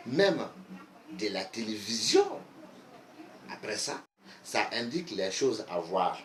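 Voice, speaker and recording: A man with a West African accent, a native Bambara speaker, explaining like a teacher in a deliberate, emphatic way with repetition. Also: lowish voice, a bit bright. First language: Bambara (Bamanankan). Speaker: geomancy teacher, online video host. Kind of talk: instructional explanation. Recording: echoey room, faint background noise.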